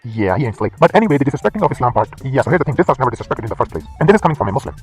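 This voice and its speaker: A man's voice: medium pitch, sing-song, racing, husky, soft background music. A man from Pakistan with a Pakistani accent, hosting an angry response commentary, sarcastic and swearing often. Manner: heated, sarcastic, swears a lot. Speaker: commentary channel host.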